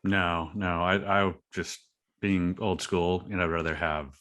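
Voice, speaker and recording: A man's voice: low-pitched, fairly even intonation, a little slow, fairly bright tone. A man talking casually as a podcast guest, an indie creator with an earnest, self-deprecating way of explaining his work. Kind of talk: casual interview chat.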